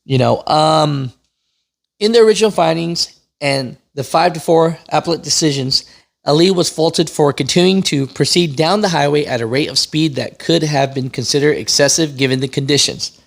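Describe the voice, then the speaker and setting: A man's voice: on the high side, normal speed, vocal fry. A man hosting a news channel, reading and explaining in a casual, opinionated, conversational way. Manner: conversational, opinionated, often checks in with "right?" and "you know". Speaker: host of a trucking news channel.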